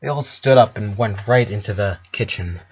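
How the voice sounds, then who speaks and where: A man narrating fan fiction aloud, expressive, occasionally stumbling over a word and correcting himself. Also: fairly low pitch, normal speed, husky. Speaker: story narrator. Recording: slightly dull, lo-fi microphone.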